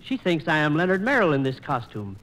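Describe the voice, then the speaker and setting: An actor playing a sly, ardent disguised bridegroom in a 1940s radio operetta, with theatrical archaic delivery. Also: male voice, fairly high pitch, sing-song, brisk, a bit bright, rough recording. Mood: scheming. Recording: old 1940s radio transcription disc.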